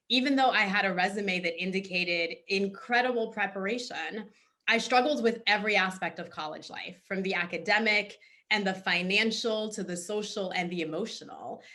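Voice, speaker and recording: Bright-voiced, articulate woman. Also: medium pitch. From Haiti, raised in Boston. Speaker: nonprofit founder and CEO, panel guest. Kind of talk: panel discussion answer.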